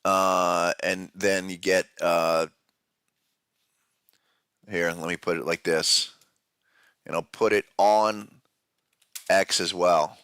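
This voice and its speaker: A man hosting a live podcast about trading charts, talking in a casual, loose way with a head cold that deepens his voice. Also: little pitch movement, slow, pauses often, a bit bright, clean tone, no echo, clean studio sound. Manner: casual, loose, with fillers and restarts. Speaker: podcast host. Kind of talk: live-stream market analysis chat.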